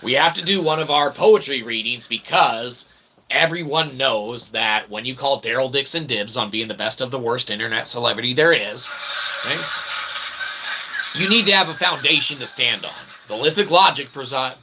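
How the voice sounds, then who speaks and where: A man's voice: mid-range voice, noisy background. A man giving a rambling casual monologue to viewers as a channel host, with wordy mock-formal phrasing, frequent okay tags and a self-deprecating manner. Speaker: independent channel host and developer.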